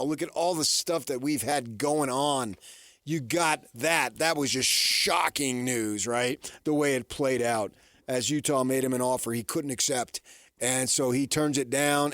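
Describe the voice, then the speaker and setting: A man's voice: mid-range voice, dry recording, studio quality. A man hosting a sports radio show, talking casually in a folksy, conversational way and often tossing out rhetorical questions.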